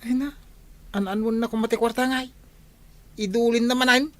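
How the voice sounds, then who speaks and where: A woman's voice: a little high, steady tone, a little fast, close to an everyday voice. A woman acting a grumbling, nonchalant relative in an Ilocano radio comedy, with an Ilocano accent. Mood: dismissive.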